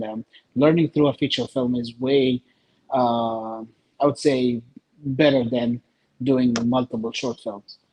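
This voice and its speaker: Halting Egyptian man, medium-pitched voice. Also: mellow, rough recording. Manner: halting, many pauses between short phrases. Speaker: interview guest, filmmaker. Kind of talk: casual interview talk.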